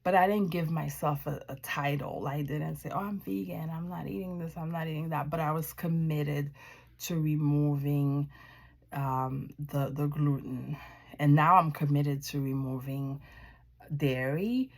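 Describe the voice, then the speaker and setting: A woman from Haiti gives a personal testimony as a wellness practitioner. Her voice sits low and moves slowly. She speaks reflectively, choosing her words with deliberate pauses. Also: steady tone, creaky. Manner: reflective, deliberate, pausing mid-sentence.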